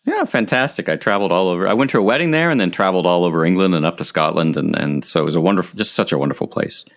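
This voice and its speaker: A man's voice: low-pitched, expressive, rapid-fire. A man hosting a podcast interview, chatty and friendly, with frequent filler words. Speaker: podcast host.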